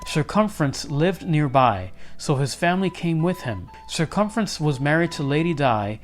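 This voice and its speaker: A man reading a children's storybook aloud as an expressive narrator. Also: a little high, moderate pace, soft background music.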